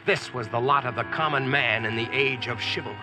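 A man's voice: mid-range voice, fairly quick, close to an everyday voice. An actor playing a practical modern American man among knights, narrating and speaking plainly in 1940s radio style. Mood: reflective narration. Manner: plain-spoken, instructive. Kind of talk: 1940s radio acting and first-person narration. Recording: vintage radio drama recording with music.

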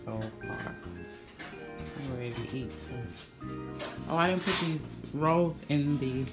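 A woman's voice: rumbling low, slow, over music. A woman vlogger casually walking through her holiday dishes at home, filming on a handheld phone. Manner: relaxed, chatty, pointing out dishes one by one. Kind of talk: casual cook-with-me vlog tour. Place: home kitchen and dining room. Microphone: handheld phone camera.

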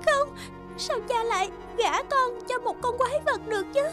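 A woman's voice: very high-pitched, normal speed, over music, pitched above the performer's own voice. A woman voicing an anxious young maiden in a cartoon fairy tale, with an exclaiming, emotional delivery. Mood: terrified. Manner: trembling, stammering, exclaiming. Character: frightened young woman held by a beast. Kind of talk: animated fairy-tale dubbing.

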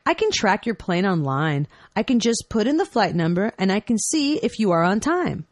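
A woman's voice: mid-range voice, very animated, normal speed. A woman playing a helpful traveller's companion in a scripted lesson dialogue. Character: helpful woman planning an airport trip.

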